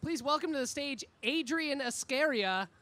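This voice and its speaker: A woman's voice: sits high, expressive, moderate pace. A woman hosting a live panel in a casual, chatty, slangy way. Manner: chatty, informal, frequent slangy "like". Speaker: panel host. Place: live convention stage. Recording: noisy live event room.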